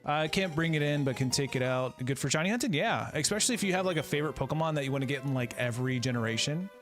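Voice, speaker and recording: A man's voice: on the high side, animated, very fast, fairly bright tone, faint music behind. A man in his thirties playing a game on a live stream, chatting in a laid-back, friendly way and greeting viewers. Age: 30s. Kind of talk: casual live-stream chat while playing.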